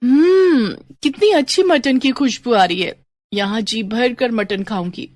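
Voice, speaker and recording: A woman voicing an eager, impatient young bride in an expressive storybook dramatization. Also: fairly high pitch, a little slow, dry recording, clean studio sound. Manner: expressive, exclaiming. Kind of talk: Hindi moral-story dramatization.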